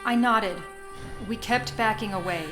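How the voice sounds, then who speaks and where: A woman's voice: fairly high pitch, a little slow, lightly acted. An actress playing a young Sicilian woman who tells of her girlhood romance and terror in a literary, dramatic radio play. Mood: tense, haunted narration. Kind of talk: cinematic radio play narration and dialogue. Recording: loud soundtrack music behind voice. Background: music.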